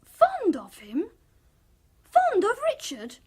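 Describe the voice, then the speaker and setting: A woman playing a morbid, excitable, childlike young man in a radio play, eager and naive with a giggly manner. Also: very high voice, slow pace, full character voice. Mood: petulant, unsettled.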